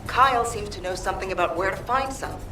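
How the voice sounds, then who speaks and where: A woman's voice: medium pitch, quick pace, pushed and bright, close to an everyday voice. A woman voicing a caring, teasing mother of a grown son in a video game, heard echoey and distant over music. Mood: affectionate teasing.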